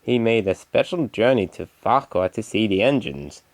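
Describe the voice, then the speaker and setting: A man's voice: lowish voice, animated, moderate pace, dry recording. A man reading a scripted children's story as narrator.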